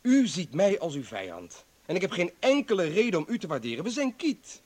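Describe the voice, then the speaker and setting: An actor in a radio play playing a bitter, defiant doctor who was a political prisoner, arguing with sarcasm and indignation. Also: male voice, high-pitched, huge pitch swings, brisk, darkish tone, full character voice. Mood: scornful, agitated. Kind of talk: dramatic radio play acting.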